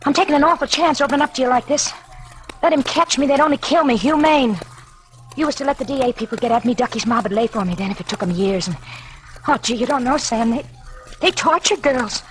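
A scared but streetwise American gangster's moll, played by a woman in a 1940s radio crime drama. Her voice sits fairly high and she talks in anxious slang. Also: quick pace, soft background music, natural voice, poor audio. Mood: anxious, pleading. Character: frightened, streetwise gangster's girlfriend on the run.

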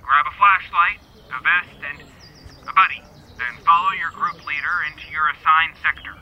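A man playing an earnest small-town sheriff, addressing a group in a halting, plain, official way in an audio drama. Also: a little high, a little hiss or hum, natural voice. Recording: slightly dull sound, faint outdoor ambience.

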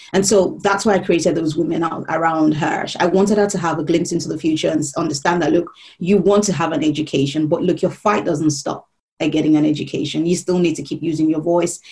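A woman from Nigeria whose first language is Yoruba, speaking as an interviewed author. Her voice sits a little low, and she explains carefully, often pausing to reword a thought. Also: a little mellow. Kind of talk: reflective conversation.

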